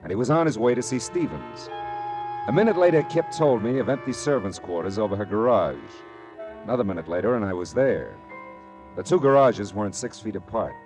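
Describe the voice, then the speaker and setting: An actor playing a wry, laconic, world-weary private detective in a radio drama, narrating and trading dialogue. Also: male voice, on the low side, big pitch swings, brisk, over music. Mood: hardboiled narrating.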